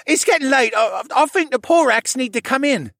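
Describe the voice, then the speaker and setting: A man voicing an anxious, tearful young stable boy in an audiobook, with a rough, halting, stammering and pleading delivery. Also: very high-pitched, lively intonation, fairly bright tone, no echo, studio quality, voice pushed up, full character voice. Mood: panicked. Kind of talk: audiobook character acting.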